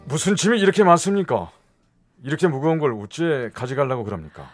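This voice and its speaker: Eager young Busan suitor, animated voice. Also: male voice, fairly high pitch, fairly quick, faint music behind. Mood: friendly, teasing. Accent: Busan, Gyeongsang. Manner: chatty, eager, forthcoming. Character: forward, friendly young suitor chatting up a shy woman. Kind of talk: radio drama acting.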